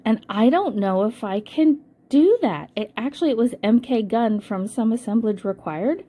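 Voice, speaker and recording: An older woman with a hoarse voice from a cold, casually narrating a scrapbooking voice-over and thinking aloud with frequent ums. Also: a little high, expressive, normal speed, mellow. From United States. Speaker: scrapbooking channel host.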